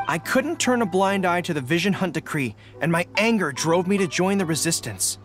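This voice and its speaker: A man's voice: high-pitched, expressive, a bit bright, soft background music. A young man voicing an earnest, formal young dog-eared general in a video game. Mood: thoughtful. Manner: earnest, deliberate, formal phrasing. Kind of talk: video game character dialogue.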